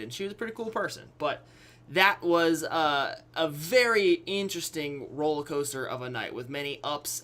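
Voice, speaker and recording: Bright-voiced young man telling a story. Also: on the high side. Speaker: YouTube storyteller. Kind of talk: casual, candid personal storytelling to camera.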